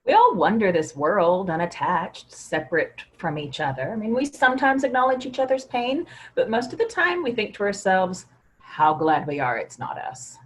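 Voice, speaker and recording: A woman's voice: medium pitch, sing-song, normal speed, a little hiss or hum, lightly acted. A woman in a staged play reading, playing a self-assured, preachy, earnest believer in energies. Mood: solemn, reflective.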